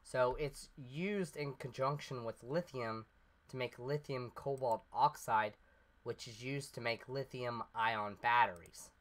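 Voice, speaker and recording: A man's voice: medium pitch, unhurried, a bit bright. A man casually commenting over his gameplay, rambling step by step and sounding a little congested as he recovers from being sick. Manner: rambling, explains step by step with fillers. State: slightly congested, recovering from illness. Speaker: let's play host.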